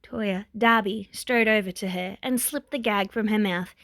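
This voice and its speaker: Woman, story narrator, expressive scripted reading, medium pitch, dry close sound. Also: a little slow, dry recording. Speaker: fan fiction narrator.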